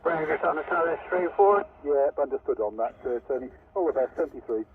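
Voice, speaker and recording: A man's voice: on the high side, animated, fairly quick, phone-quality sound. A man chatting casually as a radio operator, his voice coming over a CB radio. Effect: heard over CB radio. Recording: distant, noisy CB radio reception.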